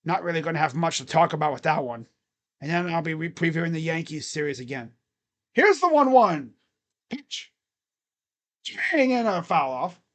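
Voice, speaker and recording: A casual baseball play-by-play streamer with a naturally booming, loud delivery. The host is relaxed and talky, filling the gaps between pitches with chatter and idle humming. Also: moderate pace, long pauses. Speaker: live-stream baseball commentator. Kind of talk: casual live play-by-play reaction.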